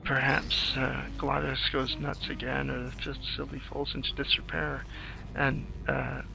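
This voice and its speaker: A man improvising playthrough commentary on a video game, thinking aloud in a hesitant, halting way with a gravelly voice. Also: medium pitch, rough recording. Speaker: screenwriter doing game commentary. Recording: game music behind the voice. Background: video game music.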